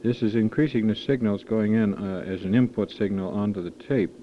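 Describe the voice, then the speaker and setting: Muffled, low-voiced man on noisy amateur tape. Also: a little fast. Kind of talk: halting off-the-cuff demonstration into a recorder. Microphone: microphone at varying distance. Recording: dull, hissy amateur tape recording.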